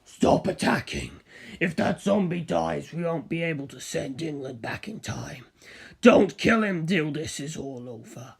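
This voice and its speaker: A narrator plays a cool-headed strategist in an adventure storybook. The delivery is grave and deliberate, close to an everyday speaking pitch. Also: lively intonation, vocal fry, breathy edge, exaggerated voice. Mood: grave, urgent.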